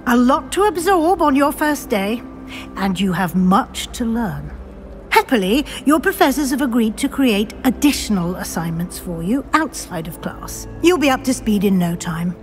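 Woman acting older witch professor, British, brisk, formal, kindly but firm, very expressive pitch, game dialogue over music. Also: natural voice. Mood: brisk, encouraging. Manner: formal, measured, articulate. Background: background music.

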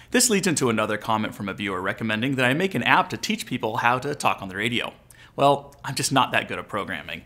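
A man's voice: mid-range voice, sharp tone. A man in his forties with an American accent, explaining and coaching as a video host in a casual instructional style. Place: furnished living room, indoors.

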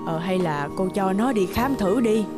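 A woman voicing a gentle, worried middle-aged housemaid in an animated drama, coaxing and hesitant. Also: mid-range voice, normal speed, over music, natural voice.

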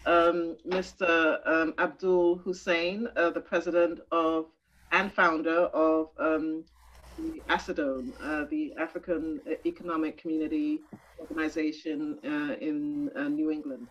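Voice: mid-range voice, little pitch movement, unhurried, fairly bright tone, lo-fi sound. A moderator announcing the next segment of an event over a video call, hesitant and full of ums.

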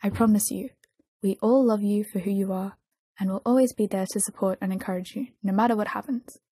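A woman's voice: mid-range voice, fairly even intonation, brisk, mellow, slightly rough, dry recording, close to an everyday voice. A woman voicing an earnest, caring young male pop idol in a story, insistent and reassuring in manner. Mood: calm, reassuring.

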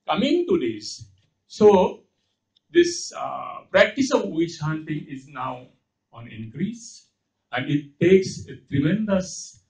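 An older man with an Indian accent gives an extempore lecture in a halting, emphatic way, repeating phrases. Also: a little high. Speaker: speaker at a panel talk. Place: lecture hall, at a podium. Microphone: podium microphone, heard from far off. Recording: dull, distant hall microphone recording.

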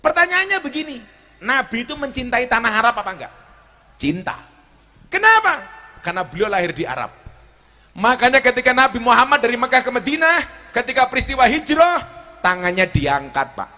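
A middle-aged man with a Javanese accent preaching a humorous sermon on an outdoor stage into a handheld mic, joking and swinging between shouts and low asides. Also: big pitch swings, fairly quick. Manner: walks the stage with a cane. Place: outdoor stage before a large crowd.

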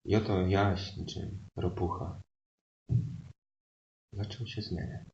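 A man narrating improvised comic fairy tales as a stage performer, in a mock-solemn, deliberate way. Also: deep voice, steady tone, a little mellow. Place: indoor live performance space. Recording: echoey live room, muffled, noisy.

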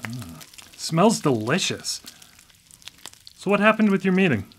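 A man's voice: high voice, big pitch swings, unhurried, a little hiss or hum. An actor playing a hapless, amiable blind man, a younger brother who talks hesitantly, in a contemporary radio drama. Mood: weary. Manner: hesitant, stammering.

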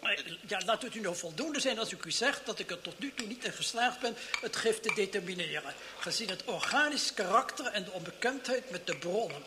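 An actor playing a muddled, pedantic toxicology professor in a vintage radio play, halting and repeating himself. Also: male voice, high-pitched, expressive. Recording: noisy old radio drama recording.

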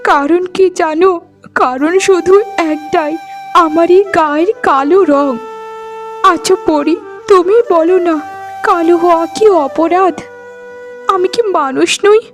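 Woman acting a very high-pitched, sorrowful young wife. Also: a little slow, long pauses, faint music behind. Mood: plaintive. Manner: plaintive, lamenting. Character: sorrowful rejected young wife, humble and pleading. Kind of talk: melodramatic cartoon dialogue.

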